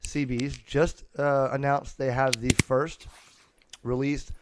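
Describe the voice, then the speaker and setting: A man's voice: mid-range voice, flat delivery, takes it slowly, noisy background. A man hosting a podcast in a casual, unscripted way, rambling and often restarting his sentences. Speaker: podcast host. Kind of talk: casual unscripted hobby chat. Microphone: close USB podcast microphone.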